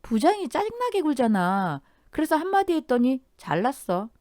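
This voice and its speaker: Woman reading bratty young sister-in-law, whiny, sulky, pitched high. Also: big pitch swings, normal speed, darkish tone, no echo, clean studio sound.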